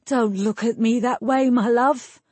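A woman voicing a desperate, lovesick lord in an audiobook, pleading in a wounded, breathy way. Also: on the high side, steady tone, a little slow, a little mellow, no echo, voice pushed up. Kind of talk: audiobook character acting.

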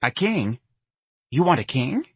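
A man's voice: mid-range voice, very animated, a little slow, a bit bright, husky, no echo, pitched above the performer's own voice, full character voice. A man voicing a plaintive, nagging older working-class mother with folksy, whining speech in an audiobook. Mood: sly, baffled.

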